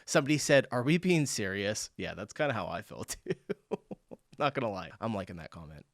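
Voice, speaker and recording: A man from the United States hosting a casual reaction video, reading out viewer comments in a relaxed, chuckling way. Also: on the low side, a little fast, gravelly, no echo, studio quality. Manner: laid-back, chuckling, reads out viewer comments.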